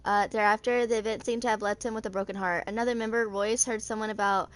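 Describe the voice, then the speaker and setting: A woman's voice: medium pitch, steady tone, fast. A woman in her twenties reading story text aloud in an amateur way, with small stumbles. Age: 20s. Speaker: video creator reading game character lore.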